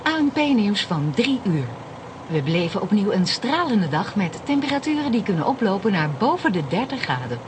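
An actress playing a formal radio newsreader giving a scripted bulletin in a radio drama. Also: female voice, medium pitch, big pitch swings, a little fast, lightly acted. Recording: car radio amid vehicle noise.

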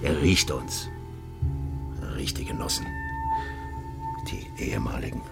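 A man's voice: very high-pitched, wildly swooping pitch, slow, loud music behind the voice, full character voice. An actor playing a secretive, commanding East German official in a radio drama, brusque and evasive as he gives instructions.

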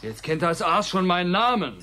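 Curt, menacing gangster boss. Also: male voice, high-pitched, very animated, brisk, pushed and bright, slight background noise, exaggerated voice. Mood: bossy, irritated. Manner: curt, commanding, colloquial. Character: bossy, gruff male gangster ringleader, menacing and impatient. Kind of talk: German radio drama acting.

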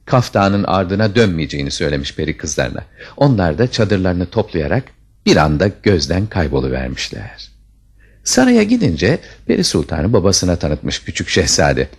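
A man's voice: low voice, sing-song, moderate pace, breathy edge. A man narrating a scripted fairy tale as a storyteller, with dramatic expression.

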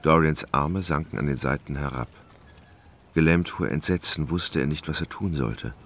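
Very deep, gravelly male narrator. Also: fairly bright tone. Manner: measured, dramatic. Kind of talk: literary scripted narration for a radio play.

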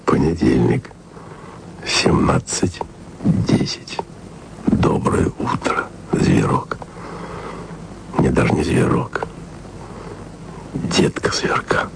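A man's voice: lively intonation, very slow, long pauses, full character voice, low-quality recording. An actor playing an aging émigré radio man in a radio play, speaking tenderly and confidingly in a half-whisper to his beloved. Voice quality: very deep, gravelly. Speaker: radio broadcaster leaving taped messages. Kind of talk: intimate radio play monologue.

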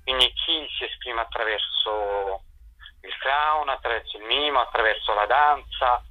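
A man's voice: mid-range voice, a little slow. A middle-aged man explains things in an interview, hesitating and searching for words. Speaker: theatre director and performer. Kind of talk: informal spoken interview answers. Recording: dull lo-fi telephone-radio sound.

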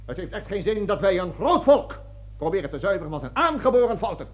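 A dull, lo-fi radio play recording of a pompous colonel. His voice sits high and swings widely as he orates, barks orders and drops into hushed asides. He is a smooth-talking opportunist, grandiloquent and excitable. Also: fast talker. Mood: oratorical persuading.

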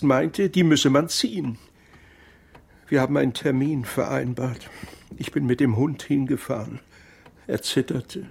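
A man's voice: mid-range voice, vocal fry, breathy edge, full character voice. An actor in a radio crime drama playing a bitter, lonely laid-off editor in his fifties, aggrieved, wry and articulate. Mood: brooding. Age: 50s. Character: bitter, lonely laid-off former editor-in-chief, man of fifty-four.

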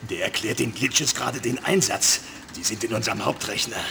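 Creaky-voiced hacker technician. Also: male voice, mid-range voice, fairly quick, pushed and bright, slight background noise. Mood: brisk. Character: fumbling hacker technician henchman. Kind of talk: children's radio drama acting.

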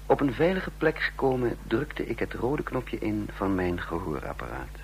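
A man playing a brisk, scheming intelligence chief in a Dutch radio play, curt and commanding, with a husky voice. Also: lowish voice, sing-song, slight background noise. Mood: scheming, confiding. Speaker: radio drama lead and narrator. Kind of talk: Dutch radio play acting, dialogue and narration.

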